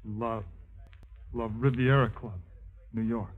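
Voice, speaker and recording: An actor playing a withdrawn, shell-shocked young soldier who stammers haltingly in a 1950s radio drama. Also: male voice, mid-range voice, steady tone. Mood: hesitant, withdrawn. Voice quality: halting, stammering on first syllables. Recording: tinny, narrow-band 1950s radio recording.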